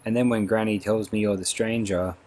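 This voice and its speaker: A man's voice: on the low side, fairly even intonation, fairly quick, a little mellow, lightly acted. A man voicing a broken, apologetic old male soldier who speaks in a humble, pleading country way. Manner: pleading, humble rustic speech.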